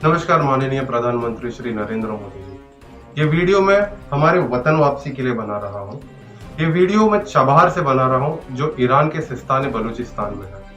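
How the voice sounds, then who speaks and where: A man's voice: mid-range voice, lively intonation, brisk, fairly bright tone, soft background music. A man in his twenties from Maharashtra, pleading in a self-made video appeal and repeatedly addressing "sir". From Maharashtra, India. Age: 20s. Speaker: stranded sailor appealing for help. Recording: echoey, dull self-made phone video.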